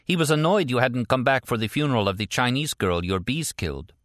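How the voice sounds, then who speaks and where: A man's voice: medium pitch, lively intonation, a little fast, fairly bright tone, dry recording, studio quality, voice pushed up, natural voice. A man voicing a tender, teasing, anxious woman in an audiobook novel. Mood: earnest, troubled. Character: frightened, teasing, tender American woman on a phone call.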